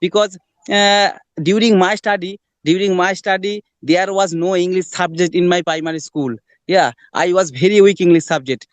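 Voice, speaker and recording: Man, Bengali accent, native Bengali, polite formal host, crisp, dry close sound. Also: high voice, steady tone, moderate pace, no room sound. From Bangladesh. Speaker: conversation practice host. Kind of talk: practice conversation.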